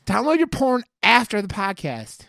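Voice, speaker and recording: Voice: mid-range voice, very animated, moderate pace, creaky, a bit bright, dry recording. A podcast host chatting casually, with teasing, profane joking. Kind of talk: casual banter on a film review podcast.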